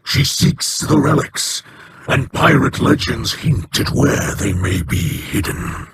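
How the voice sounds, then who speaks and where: An actor playing a solemn, regretful male elder of an alien people in a video game, speaking in measured, formal sentences. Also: big pitch swings, a bit bright, breathy edge, no room sound, exaggerated voice. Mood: grave. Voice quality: low, measured, formal speech.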